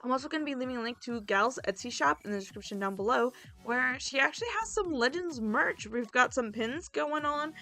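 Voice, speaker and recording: A woman's voice: sits high, a little fast, hardly any pauses, sharp tone. A woman hosting a video in a casual, informal and chatty way, talking directly to her viewers with announcements. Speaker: roleplay series host and video creator. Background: background music.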